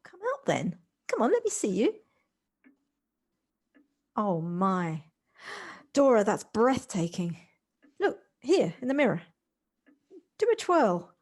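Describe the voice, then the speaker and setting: A woman's voice: medium pitch, very animated, takes it slowly, long pauses, a little mellow. An amateur actress playing an effusive, encouraging and sympathetic woman in an audio drama, often stammering into her phrases. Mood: admiring. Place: recorded at home on a personal device.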